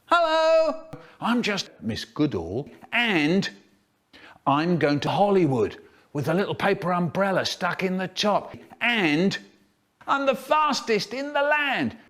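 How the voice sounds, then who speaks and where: Man doing a high, weedy lady schoolteacher. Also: big pitch swings, takes it slowly, a bit bright, exaggerated voice. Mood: defiant, boastful. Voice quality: weedy, thin little voice. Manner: shrill, indignant exclaiming. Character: Miss Goodall, weedy, frantic, boastful female schoolteacher.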